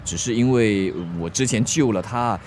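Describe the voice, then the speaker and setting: A man's voice: fairly low pitch, moderate pace, darkish tone, a little hiss or hum, lightly acted. A male actor in a radio drama playing a proud, easily flustered young driver with a Singaporean accent, blustering and stammering. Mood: flustered, nervous. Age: young adult.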